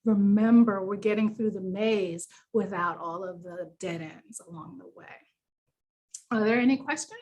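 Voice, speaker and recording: A woman's voice: mid-range voice, normal speed, darkish tone, highs cut off. A woman with a New York accent presenting a workshop in a conversational, encouraging, explanatory way, leaning on key words for emphasis. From New York, United States. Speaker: workshop presenter, business coach.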